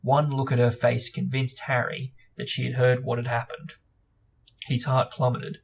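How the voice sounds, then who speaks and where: A man's voice: medium pitch, monotone, moderate pace, gravelly, husky. A man hosting a book discussion, reading aloud and chatting casually in informal, loosely phrased speech. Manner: hesitant, informal phrasing with frequent "like" and "sort of". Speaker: book discussion host and reader.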